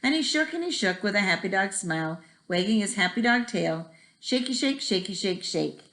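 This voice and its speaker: A woman's voice: medium pitch, lively intonation, normal speed, fairly bright tone. A woman reading a children's picture book aloud expressively, with a rhythmic delivery that draws out repeated words. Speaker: read-aloud storyteller. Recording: echoey room.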